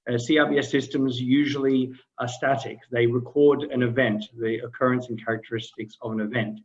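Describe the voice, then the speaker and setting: A man's voice: medium pitch, level tone, a little fast, muffled recording. A man from Australia, giving a hesitant explanatory answer on a webinar, often repeating words. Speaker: webinar panelist.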